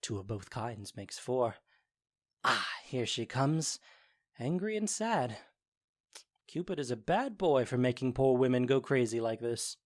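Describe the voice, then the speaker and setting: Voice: medium pitch, very animated, a little slow. An actor playing a mischievous goblin sprite in an audio drama, theatrical and playful in delivery. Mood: musing. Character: Puck, mischievous goblin sprite serving the fairy lord. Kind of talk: audio drama acting of modernized Shakespeare.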